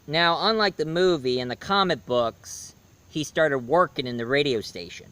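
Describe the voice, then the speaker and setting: A man giving a casual spoken review, hoarse, rambling and correcting himself mid-sentence. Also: a little high, animated, normal speed, pushed and bright. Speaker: movie and comics reviewer.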